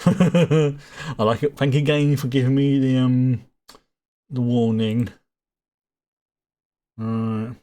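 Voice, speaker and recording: A man's voice: medium pitch, little pitch movement, slow pace, pauses often, mellow. Chatty man streaming a game. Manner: chatty, laughs often, trails off in restarts; reads on-screen dialogue aloud. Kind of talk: live gameplay commentary.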